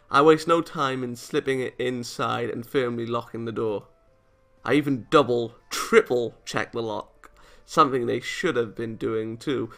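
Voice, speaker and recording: A man reading a visual novel aloud as a let's-play narrator, expressive and theatrical, with game music behind him. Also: mid-range voice, vocal fry.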